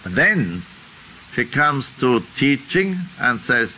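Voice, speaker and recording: A man's voice: mid-range voice, big pitch swings, slow, pushed and bright, a little hiss or hum, low-quality recording. An older man with a Swiss accent giving an unscripted lecture, thinking aloud and tagging points with a questioning "huh?". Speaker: teacher.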